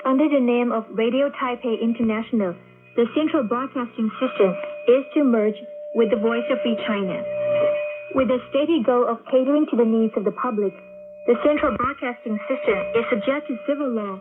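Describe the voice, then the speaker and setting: A woman working as a radio host, announcing and chatting with a co-host. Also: a little high. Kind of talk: radio announcing and co-hosted chat. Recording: tinny shortwave radio recording with music.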